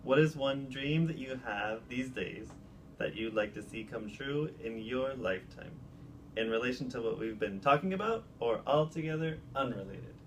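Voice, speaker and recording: A man hosting a casual interview, friendly and quick to laugh, putting questions to his guest. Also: mid-range voice, slight background noise, poor audio. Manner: friendly, laughs easily, offers short comments. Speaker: interview host.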